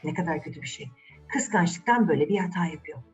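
A woman storyteller narrating a fairy tale for children in a theatrical, expressive way, addressing the young listeners directly. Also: on the low side, fast, recorded from a distance, muffled recording, soft background music.

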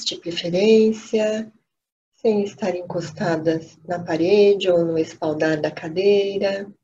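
A woman with a Brazilian accent leading a guided meditation, gently and unhurriedly, pausing between short phrases. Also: lowish voice. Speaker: meditation teacher. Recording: dull lo-fi audio with steady noise.

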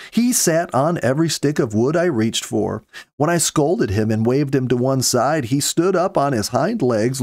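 A man in his fifties reading a story aloud with earnest, measured, dramatic phrasing, close to a studio microphone. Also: medium pitch, darkish tone, dry recording. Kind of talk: expressive audiobook-style story narration. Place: small home study, indoors. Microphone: close studio mic with pop filter.

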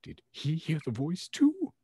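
A man's voice: fairly high pitch, huge pitch swings, measured pace, warm tone, husky, no room sound. A man reading fan fiction dramatically as a podcast host, in a theatrical manner, close to the microphone at home. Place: home room, on a video call.

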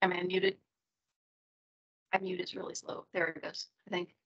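A woman's voice: lowish voice, little pitch movement, normal speed, vocal fry, slightly rough, highs cut off. A middle-aged woman casually moderating an online group call and putting questions to a guest. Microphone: video call.

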